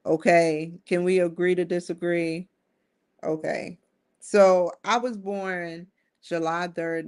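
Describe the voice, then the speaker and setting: A woman in her forties hosting a live-streamed solo talk show, rambling earnestly with frequent restarts. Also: fairly low pitch. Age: 40s. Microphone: streaming setup.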